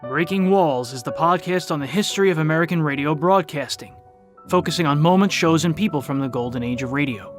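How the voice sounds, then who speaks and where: A man with a slightly high voice delivers scripted promotional narration. Clear music plays behind his announcing. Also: a little fast.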